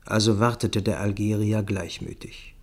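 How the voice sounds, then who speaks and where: A man with a fairly low voice narrates a radio drama in the first person. His reading is scripted and literary. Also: steady tone, normal speed.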